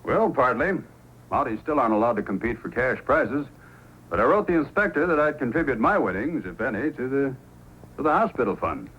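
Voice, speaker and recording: An actor playing a calm, fair-minded Mountie sergeant in an old-time radio drama, measured and conciliatory. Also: male voice, mid-range voice, husky. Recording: muffled old radio recording, faint noise.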